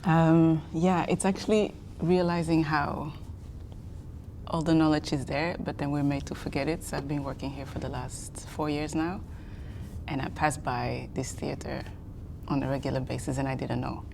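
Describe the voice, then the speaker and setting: A woman in her forties from Belgium talks reflectively in an interview. Her voice is low and often creaky, with a faint rumble behind it. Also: little pitch movement, normal speed. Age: 40s. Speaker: interview guest, academic. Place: seated in empty theatre auditorium.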